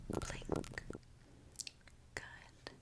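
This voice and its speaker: Female ASMR performer as a gentle, attentive mechanic; whispered, very unhurried delivery. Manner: soft, slow, reassuring, attentive. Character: gentle mechanic repairing a robot client. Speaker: ASMR creator.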